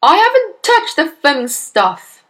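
A woman's voice: a little high, animated, moderate pace, lightly acted. A woman voicing an irate middle-aged father in a storybook, blustering and spluttering with indignation. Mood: indignant.

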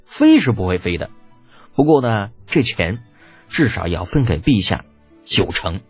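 A man's voice: fairly low pitch, big pitch swings, measured pace, darkish tone, breathy edge, faint music behind, heavily acted. A man voicing a cocky, glib young schemer in an audiobook, with a smug, persuasive manner. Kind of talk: audiobook character acting.

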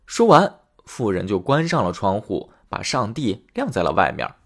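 A man narrating a fairy tale for children in a friendly, lively storytelling manner. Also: lowish voice, normal speed.

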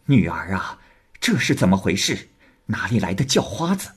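A man's voice: on the low side, very animated, normal speed, husky, exaggerated voice. A man voicing a scheming old woman, a madam, who exclaims and scolds. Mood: alarmed.

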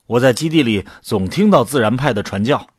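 A man's voice: medium pitch, lively intonation, fairly quick, husky, no room sound, studio quality. A man playing a sceptical commando recruit who asks blunt questions in an audio drama. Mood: curious.

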